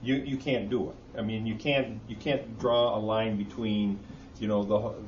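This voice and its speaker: A man speaking as a board member in a meeting discussion, halting and repeating words as he insists on his point. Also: lowish voice, steady tone, darkish tone. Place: meeting room. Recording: roomy, dull, distant recording with noise.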